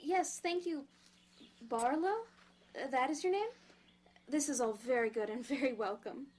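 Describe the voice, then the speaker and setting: A woman's voice: high voice, unhurried, a little mellow. A woman playing a teasing, easygoing young houseguest in an audio drama, with a playful, conversational manner. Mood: curious, polite. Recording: clear background noise.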